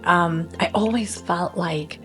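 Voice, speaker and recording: Slovak-born woman guest, low voice, animated and laughing, faint music under. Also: slow. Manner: bubbly, laughs often, animated. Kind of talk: casual interview chat.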